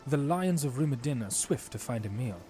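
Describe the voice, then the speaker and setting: A man playing a courteous, watchful young knight commander in an audio drama, speaking formally and earnestly in measured phrases. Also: medium pitch, animated, warm tone, lightly acted. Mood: cautioning.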